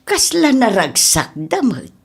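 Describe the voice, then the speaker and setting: A woman's voice: fairly high pitch, huge pitch swings, slow, creaky, breathy edge, exaggerated voice. A woman playing a nosy, doting middle-aged mother in an audio comedy-drama, with an Ilocano accent and a drawling, gossipy delivery. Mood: gossipy, pitying.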